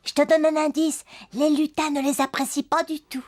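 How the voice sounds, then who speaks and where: An actor voicing a cheerful, eager little toy in a French children's cartoon, expressive and friendly. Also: sits high, normal speed, natural voice. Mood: playful. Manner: bright-eyed, expressive, friendly. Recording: music behind the voice.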